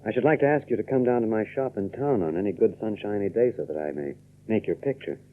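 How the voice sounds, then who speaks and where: An actor playing a courteous, quietly intense young photographer in a radio drama, with a deliberate, teasing manner. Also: male voice, on the low side, natural voice. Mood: friendly, eager. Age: young adult. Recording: dull old radio recording.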